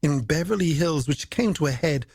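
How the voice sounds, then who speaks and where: Creaky-voiced male late-night radio host. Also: a little high, lively intonation, moderate pace, darkish tone. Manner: playful, wordy patter addressed to the listener. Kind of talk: scripted, chatty late-night radio presenting. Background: music.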